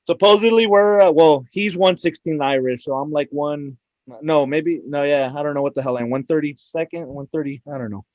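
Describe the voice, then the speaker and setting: A tipsy man co-hosting a podcast, joking loudly and calling everyone "bro". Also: on the high side, steady tone, brisk, dry recording, highs cut off. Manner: rowdy, joking, says "bro" constantly. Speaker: podcast co-host. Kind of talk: casual drinking chat.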